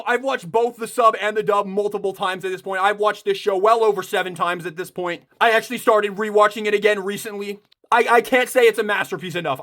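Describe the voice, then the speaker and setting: Gushing high-voiced young man. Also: fairly bright tone. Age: 20s. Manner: gushing, enthusiastic, swears freely. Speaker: toy reviewer. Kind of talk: casual unboxing review.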